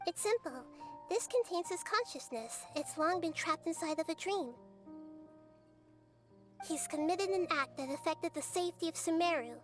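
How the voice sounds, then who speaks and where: A very high, light girlish voice belongs to a small goddess, gentle and wise, with soft, clear, measured words. Faint game music runs behind her. The delivery is calm and composed throughout. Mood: serious.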